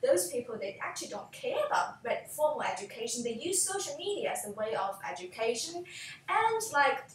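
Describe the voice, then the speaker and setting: A woman in her thirties, a native Chinese speaker, presenting her book in halting speech with many fillers. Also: very animated, far from the mic. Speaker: author and researcher. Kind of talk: panel discussion. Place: office with bookshelves. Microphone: distant camera mic. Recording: echoey room from afar, faint rumble.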